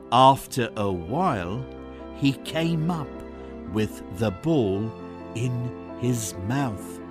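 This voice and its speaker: Children's storyteller, scripted fairy tale, extremely unhurried and lilting, music underneath. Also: medium pitch.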